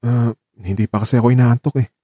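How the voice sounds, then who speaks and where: Weary young man, acted by a man, romantic radio drama, mellow tone, dry recording, guarded, understated, evasive. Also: medium pitch, fairly even intonation, fast talker, no room sound, lightly acted. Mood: evasive, light.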